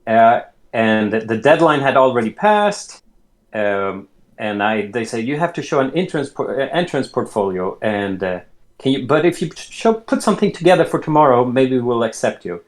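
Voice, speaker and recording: A man in his late thirties from Sweden, telling his life story as an interview guest in an unhurried way, often restarting his sentences. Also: mid-range voice, sing-song. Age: late 30s. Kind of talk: casual conversation.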